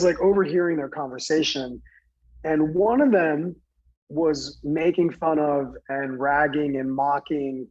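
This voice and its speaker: A man from New Jersey earnestly recounting a personal story in conversation, halting, with many fillers and restarts. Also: a little high, darkish tone, husky, muffled recording. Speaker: guest speaker.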